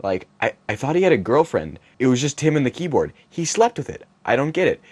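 A man's voice: medium pitch, big pitch swings, brisk, a little mellow, husky. A young man playing a blunt, baffled former bandmate in a mockumentary interview, speaking in a small room. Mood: dismissive, baffled. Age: young adult. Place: small cluttered indoor music room.

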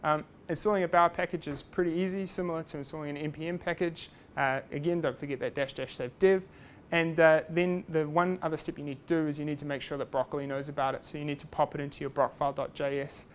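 A man's voice: a little high, fairly even intonation, fast talker, slight background noise. A man giving a casual technical talk at a meetup, chatty and self-deprecating with lots of fillers. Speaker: meetup speaker. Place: meetup room.

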